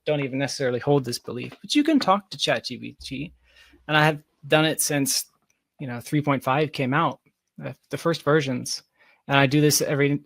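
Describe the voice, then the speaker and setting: A man's voice: a little high, no room sound. A man speaking as an interview guest, speculating at length in an informal, emphatic way as he thinks aloud. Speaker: interview guest, tech company founder.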